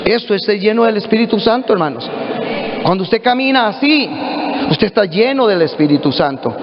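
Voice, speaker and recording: A man's voice: sits high, expressive, brisk. A middle-aged man with a Costa Rican accent, preaching a sermon earnestly to his congregation. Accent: Costa Rican Spanish. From Costa Rica. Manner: earnest preaching, addresses listeners as brothers. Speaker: pastor. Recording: echoey hall, dull lo-fi audio.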